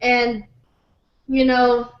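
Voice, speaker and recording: High-pitched woman in a roomy lo-fi recording. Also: completely flat delivery, extremely slow. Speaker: discussion panelist. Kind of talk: casual chat.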